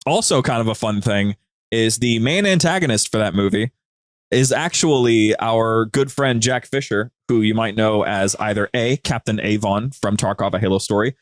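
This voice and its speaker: A man's voice: on the low side, normal speed, no room sound, clean studio sound. A man chatting casually as a podcast guest, informal and full of slang. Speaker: podcast guest, audio drama producer. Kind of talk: casual chat.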